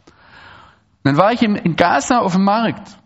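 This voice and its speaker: A man from Swabia, giving an animated, digressive talk to an audience with frequent restarts and asides. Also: high voice, normal speed, lo-fi sound. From Swabia, Germany. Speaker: speaker giving a public talk. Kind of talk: lecture to an audience.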